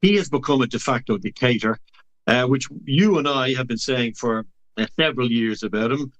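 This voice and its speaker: A man's voice: mid-range voice, moderate pace, fairly bright tone, poor audio. An Irish man with a mid-range voice, speaking as a political commentator on a talk show. He talks in long, winding explanations dotted with frequent "uh" fillers.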